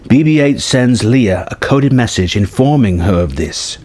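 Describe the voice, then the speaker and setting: A man's voice: mid-range voice, expressive, measured pace, a little mellow. A man reading a scripted story treatment aloud as narrator. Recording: music behind the voice. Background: background music.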